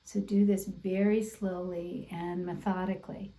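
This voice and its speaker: A woman instructor leading a guided meditation in a gentle, deliberate, encouraging way over an online video class. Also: lowish voice, little pitch movement, poor audio. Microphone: away from the mouth, video call.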